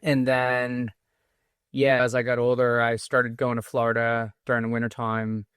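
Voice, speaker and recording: A man's voice: mid-range voice, monotone, moderate pace, fairly bright tone, smooth, dry recording, clean studio sound. A man in his forties with a Massachusetts accent, a podcast guest chatting casually and rambling through his stories with many restarts. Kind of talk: casual interview talk.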